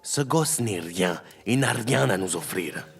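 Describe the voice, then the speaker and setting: Un acteur joue un chef de famille sévère et intimidant, autoritaire et solennel, dans une fiction audio. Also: male voice, on the low side, lively intonation, a little slow, creaky, husky, faint music behind, full character voice. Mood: cold, stern. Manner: authoritative, cold, formal. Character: stern, intimidating adult male head of a clandestine family.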